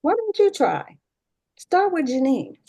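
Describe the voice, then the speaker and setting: A self-important woman principal, played by an actress in a sitcom read, with a fairly high voice that moves around a lot. She is breezy and petty, putting on a show of being reasonable. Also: wildly swooping pitch, unhurried, creaky, warm tone, heavily acted. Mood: bossy. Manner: breezy, pompous, mock-reasonable. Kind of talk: casual table read of a sitcom script.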